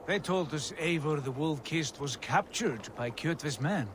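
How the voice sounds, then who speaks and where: An actor playing a resolute, confident Viking prince and brother in a video game, speaking with assurance. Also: male voice, fairly high pitch, expressive, unhurried, noisy background. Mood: determined. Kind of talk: dramatic video game acting.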